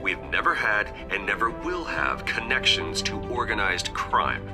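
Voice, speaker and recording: A man's voice: lowish voice, big pitch swings, a little slow, loud music behind the voice. An actor playing a composed, wealthy man making a firm, formal public statement. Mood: firm denial.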